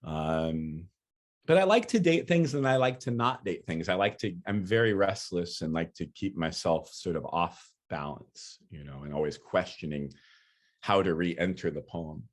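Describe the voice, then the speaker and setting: A poet answering audience questions in a relaxed, loose way, with lots of fillers. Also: male voice, low voice, normal speed. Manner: relaxed, many "you know" fillers, laughs easily. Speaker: featured speaker, poet. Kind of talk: casual talk and Q&A.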